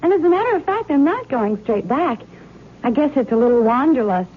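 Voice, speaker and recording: A woman's voice: high-pitched, sing-song, brisk. An actress playing a carefree, affectionate young college woman in old-time radio drama style, casual and earnest. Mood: cheerful, awkward. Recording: muffled, slightly noisy radio recording.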